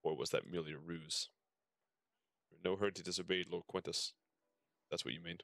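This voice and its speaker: Suspicious imperial guard from a game, read by a man, stern, deep, dry recording. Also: steady tone, brisk, vocal fry, fairly bright tone, no echo. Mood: stern, warning. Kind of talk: reading game dialogue aloud.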